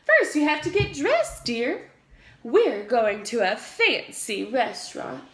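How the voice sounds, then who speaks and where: A woman's voice: a little high, big pitch swings, slow, a bit bright, exaggerated voice. A fond, firm frog mother, voiced by a woman reading aloud, instructing patiently with swooping intonation. It is a storybook voice in a roomy space. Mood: calling, instructing.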